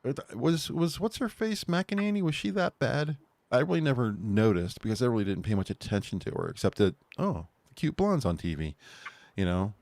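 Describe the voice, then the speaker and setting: A man's voice: medium pitch, very animated, normal speed, gravelly, a little mellow, dry recording. A man co-hosting an online talk show, rambling casually and laughing at his own jokes. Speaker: podcast co-host. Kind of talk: casual chat.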